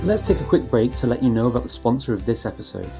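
A man hosting a podcast, asking questions in a measured way and reading sponsor messages. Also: mid-range voice, very animated, vocal fry, over music. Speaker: podcast host.

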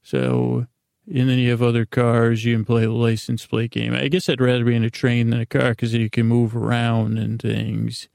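An American man with a gravelly, creaky voice hosting a bedtime podcast, rambling in a halting, meandering way with drawn-out pauses. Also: mid-range voice, darkish tone, no echo, clean studio sound. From United States. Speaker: podcast host.

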